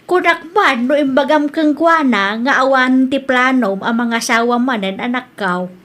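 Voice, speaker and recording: An actress plays an older Ilocano mother in a radio-style drama. Her fairly high voice is blunt and dismissive, the grumbling manner of a protective grandmother. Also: normal speed, a bit bright. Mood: gossipy, concerned. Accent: Filipino, Ilocano.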